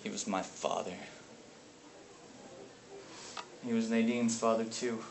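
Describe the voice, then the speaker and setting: A man performing a dramatic monologue as a haunted, bitter, grieving brother, speaking in a halting, intense way. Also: medium pitch, animated, natural voice. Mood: bitter grief. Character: grief-stricken brother, haunted and bitter over family abuse. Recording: echoey, noisy room from far off.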